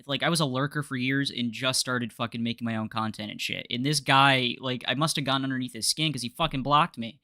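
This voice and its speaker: A man's voice: medium pitch, fairly even intonation, a little fast, hardly any pauses, pushed and bright, no room sound, clean studio sound. A young man chatting casually on a reaction stream, swearing freely and stumbling over restarts. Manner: laughs a lot, swears casually, stammers on restarts. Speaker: commentary streamer.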